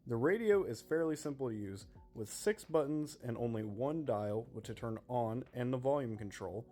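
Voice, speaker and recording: A man's voice: mid-range voice, animated, moderate pace, warm tone. Man presenting a product review over music. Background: background music.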